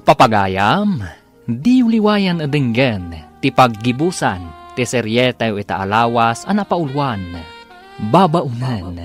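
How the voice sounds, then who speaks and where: A man with an Ilocano accent announcing a radio drama, his delivery slow and drawn out. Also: mid-range voice, big pitch swings. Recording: music bed under the voice.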